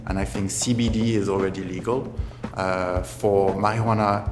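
A man's voice: low-pitched, level tone, normal speed. A man from France, explaining his views step by step to camera as an interview guest. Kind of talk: answering questions to camera. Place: studio with grey backdrop. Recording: echoey studio with music behind.

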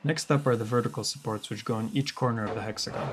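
Wry sarcastic guy doing a diy woodworking voiceover, mid pitch, bit of noise behind. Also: fast. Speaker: woodworker presenting a DIY tutorial. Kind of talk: scripted tutorial voice-over.

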